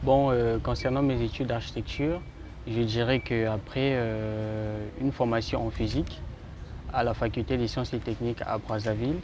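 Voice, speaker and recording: A man's voice: medium pitch, fairly even intonation, normal speed, background noise. A man from the Republic of the Congo, an architecture student, answering interview questions in a thoughtful, hesitant way with many fillers. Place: outdoors in a city.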